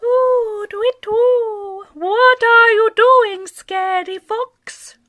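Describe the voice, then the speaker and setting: A woman's voice: very high-pitched, steady tone, very slow, narrow, tinny audio, voice pushed up, heavily acted. A woman voicing curious woodland creatures such as owls in a children's story, hooting and questioning in a sing-song way. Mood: curious, surprised. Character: curious woodland owls and animals hailing a fox.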